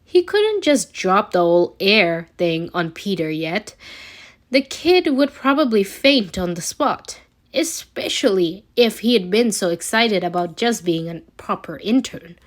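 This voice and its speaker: A woman reading a scripted story aloud as an amateur narrator, expressive but a little halting, with occasional stumbles. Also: mid-range voice. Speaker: amateur fan fiction reader.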